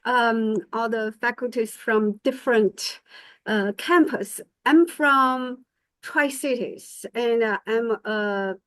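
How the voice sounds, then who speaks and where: Middle-aged woman, native Chinese, presenting to faculty; slow and halting, slightly higher voice. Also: a bit bright, dry recording. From China. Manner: hesitant, many fillers and restarts. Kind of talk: conference presentation.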